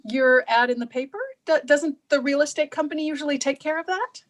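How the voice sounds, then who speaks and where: A high voice with a hesitant, stammering delivery from a woman acting. She is a naive, well-meaning suburban housewife, easily flustered, in a staged reading of a play. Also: fairly quick, close to an everyday voice.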